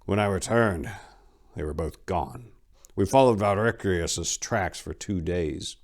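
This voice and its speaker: A man's voice: sits low, slow pace. A man playing a weary, hard-bitten vampire-hunting warrior monk, grim and terse, in a fantasy story.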